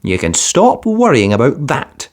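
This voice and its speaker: A man voicing a patient penguin father in a children's storybook, speaking firmly and calmly with gentle teasing. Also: mid-range voice, a little slow, voice pushed up. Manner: firm, calm, gently teasing.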